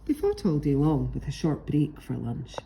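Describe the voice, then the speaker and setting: A woman reads a rhyming children's story with dramatic expression. Her voice is fairly low and mellow, moving through wide swings of pitch at a measured pace. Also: huge pitch swings, creaky, a little hiss or hum, low-quality recording. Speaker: picture-book reader.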